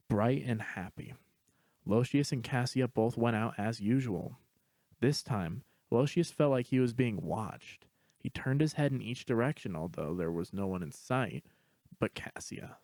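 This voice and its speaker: A man's voice: mid-range voice, a little mellow, dry recording. A man reading scripted story narration as the narrator.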